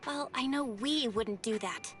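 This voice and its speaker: An earnest, caring young woman from a video game, played by a woman. Her voice is on the high side, with soft music underneath. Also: unhurried, close to an everyday voice.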